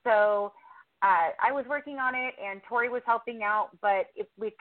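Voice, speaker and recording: A woman's voice: on the high side, normal speed. Woman on a tinny phone line. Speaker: podcast host, interview guest. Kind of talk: casual storytelling interview answers.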